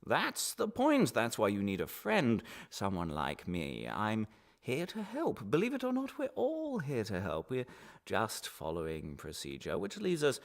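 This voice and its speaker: An actor playing a menacing male official in a radio drama, falsely friendly and halting, sometimes sinking into a whisper. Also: mid-range voice, wildly swooping pitch, creaky, heavily acted. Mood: coaxing menace. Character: smooth menacing male official interrogating a detainee. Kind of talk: one-man radio drama monologue.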